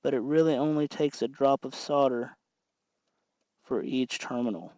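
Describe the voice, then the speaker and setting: A man's voice: mid-range voice, normal speed, a little mellow, no echo. Man giving a dry-sounding hands-on tutorial. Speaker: host of a DIY electronics tutorial. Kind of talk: hands-on tutorial, talking while working.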